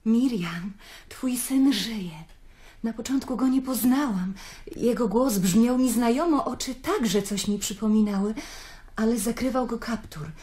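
Actress as a soft-toned, rapt devout woman. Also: a little high, a little slow, lightly acted. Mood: rapt, joyful. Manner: awed, fervent. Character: rapt, devout woman bearing miraculous news. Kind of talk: radio drama acting.

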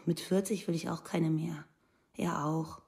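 A woman's voice: deep voice, fairly even intonation, unhurried, creaky, a little mellow. An actress playing a devoted, wistful woman of twenty-six, talking in plain short phrases in a documentary radio play. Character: devoted, wistful 26-year-old girlfriend of a prisoner.

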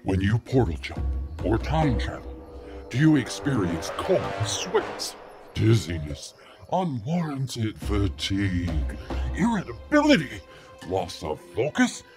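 A dramatic drug-commercial announcer acted by a man over music. He speaks slowly, with big swings in pitch, in a parody advert. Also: wildly swooping pitch, exaggerated voice. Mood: concerned salesman.

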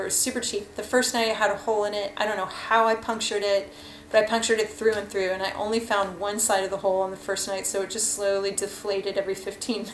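Rambly thirtysomething woman reviewing her hiking gear on a webcam, kinda flat voice, echoey kitchen. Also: mid-range voice, slight background noise. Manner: chatty, rambling, frank, many fillers. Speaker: hiking vlogger. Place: kitchen at home, indoors. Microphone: webcam at desk. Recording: echoey room, faint ambience.

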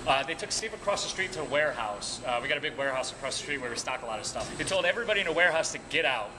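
A man's voice: on the high side, animated, rapid-fire. A man working as a tour guide, telling casual, humorous stories to a group in a colloquial way. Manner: chuckles at own anecdotes, colloquial. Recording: noisy room, background music at times.

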